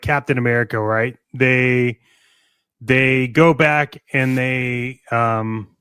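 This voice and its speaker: Man, smooth clear voice, casual fan podcast chat, enthusiastic, rambling. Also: medium pitch, a bit bright, dry recording, clean studio sound. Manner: enthusiastic, rambling, thinks aloud. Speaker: podcast co-host. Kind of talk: casual fan chat about toys and films.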